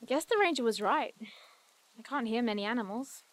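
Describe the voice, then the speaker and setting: Young amateur sleuth: high-pitched, inquisitive, earnest, oddball, audio drama lead. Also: female voice, expressive, fairly quick. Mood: matter-of-fact. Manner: earnest, matter-of-fact, quirky. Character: curious, earnest, quirky young woman investigator. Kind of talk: naturalistic audio drama dialogue.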